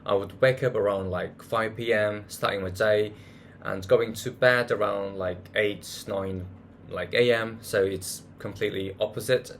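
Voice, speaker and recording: Rambling man talking in a low voice. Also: fairly even intonation, measured pace, fairly bright tone, a little hiss or hum. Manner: rambling, searching for words with many "like"s and restarts. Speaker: vlogger. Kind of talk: casual monthly recap vlog.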